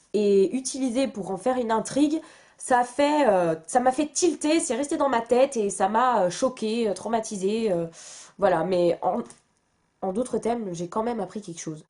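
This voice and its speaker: Chatty French book vlogger woman, fairly high voice. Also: normal speed, dark tone. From France. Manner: chatty, self-mocking, many fillers and restarts. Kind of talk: casual chat to camera.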